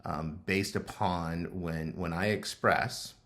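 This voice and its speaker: Middle-aged guy cohosting a podcast, super deep voice, lots of ums and restarts. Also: fairly even intonation, fairly bright tone. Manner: halting, many ums and restarts. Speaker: podcast co-host. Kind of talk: conversational couples podcast.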